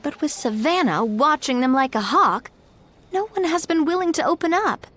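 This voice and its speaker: A kind young woman in a game, her voice fairly high and lively. She sounds easygoing and reassuring, chatting with her companions. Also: moderate pace. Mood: explaining. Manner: warm, reassuring, conversational. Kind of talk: game voice acting.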